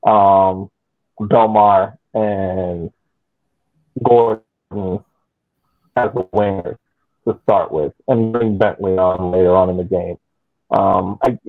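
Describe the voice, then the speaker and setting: Young male podcast panelist, slow and halting, casual sports talk, thin phone-like call audio. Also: fairly low pitch, steady tone. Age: young adult. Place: indoor room at home. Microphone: video call.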